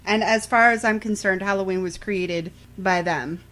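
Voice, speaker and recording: A woman's voice: medium pitch, moderate pace, fairly bright tone. A woman co-hosting a podcast in casual banter, with wry, joking asides. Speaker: podcast co-host.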